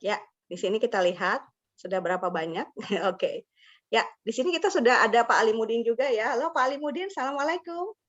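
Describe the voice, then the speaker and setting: A woman's voice: a little high, animated, brisk. A woman hosting an informal webinar, speaking politely and encouragingly. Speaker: webinar host. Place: online video call. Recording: dull lo-fi online call audio.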